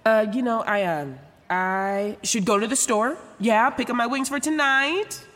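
A woman's voice: a little high, measured pace, fairly bright tone, in a large hall. An actress playing an anxious, chatty young woman who rambles casually and swears in a naturalistic audio drama monologue. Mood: nervous, defensive. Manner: rambling, casual, sweary, stop-start self-talk. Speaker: lead actor in an audio drama.

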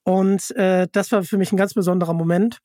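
A podcast host talks in a casual, conversational way, halting and doubling back on words. The voice sits at a middling pitch and is recorded very dry, with hardly any room sound. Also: steady tone, warm tone, no echo. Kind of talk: casual podcast interview chat.

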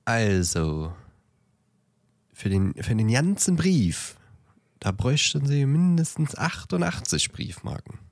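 Man as flustered, slow-talking post office clerk. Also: medium pitch, sing-song, dry recording, clean studio sound. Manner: hesitant, stammering, bemused. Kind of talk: amateur audio drama acting.

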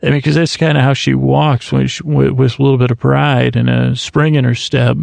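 Man, deeply gravelly voice, dry close sound, meandering self-interrupting talk. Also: flat delivery, moderate pace, a little mellow, no room sound. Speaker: podcast host. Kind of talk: rambling sleep podcast monologue.

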